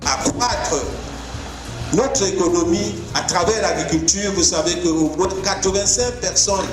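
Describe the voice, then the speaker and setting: A man gives a formal speech at a ceremony in measured, halting phrases. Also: sits high. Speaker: speaker at a launch ceremony. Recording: echoey hall with music behind.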